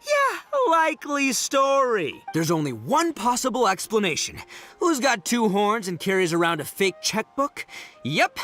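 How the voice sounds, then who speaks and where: A loud, good-hearted young oni gang boss from an anime game. His bright, forceful voice swings widely through slangy, theatrical outbursts, with faint music behind. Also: high-pitched, huge pitch swings, a little slow, heavily acted. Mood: dramatic, earnest. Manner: boisterous, theatrical, slangy exclamations. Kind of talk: anime video game voice acting.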